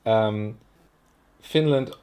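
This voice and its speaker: A man's voice: lowish voice, drawn-out words, mellow. Man moderating politely. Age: 30s. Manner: polite, searching for words with fillers. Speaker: host, moderator. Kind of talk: online seminar moderation.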